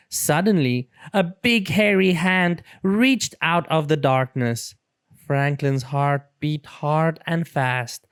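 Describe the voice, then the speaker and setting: Storybook read-aloud person, takes it slow, super expressive up and down, dry recording. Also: mid-range voice, clean tone, no echo. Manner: dramatic, deliberate storytelling for young children. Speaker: children's storybook reader.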